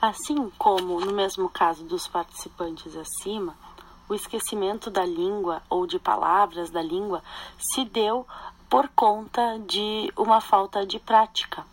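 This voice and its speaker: A young woman reads a student podcast narration in a careful, measured manner. Her pitch is ordinary for a woman. The delivery sounds prepared rather than spontaneous. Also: fairly even intonation, breathy edge, rough recording. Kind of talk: read narration.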